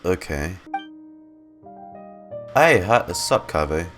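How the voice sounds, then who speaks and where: A man's voice: low voice, sing-song, takes it slowly, a bit bright, faint music behind. A man giving casual, slangy, joking and sweary reaction commentary as he plays a story game. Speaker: gamer reacting to a story quest.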